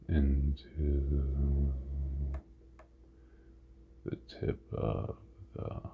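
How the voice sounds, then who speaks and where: A man leading a guided body scan meditation in an ASMR style, hushed, with drawn-out phrases and long pauses. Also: a bass voice, husky. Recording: muffled phone-like sound with audible noise.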